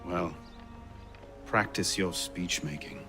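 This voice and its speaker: An actor playing a determined assassin in a video game cutscene, speaking in short, dry, clipped lines. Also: male voice, low-pitched, slow, music in the background. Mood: dry, focused. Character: terse, determined male assassin with dry humor.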